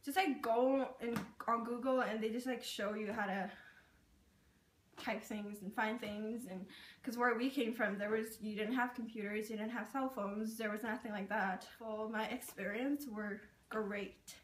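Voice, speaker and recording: A young woman whose first language is Karen tells her stories in a chatty, casual way, full of "like" and "um". Her voice sits a little high. She is recorded from a distance in a roomy space. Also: steady tone, measured pace, slight background noise. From Burma, raised in Thailand. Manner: chatty, with many "like" and "um" fillers. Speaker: interviewee sharing her experience. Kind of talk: casual personal storytelling.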